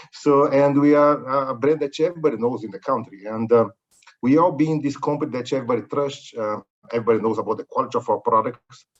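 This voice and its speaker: A man's voice: medium pitch, steady tone, brisk, dull audio. A middle-aged man from Brazil with a Brazilian Portuguese accent, answering interview questions over a video call in friendly, slightly broken English. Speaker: company executive, guest.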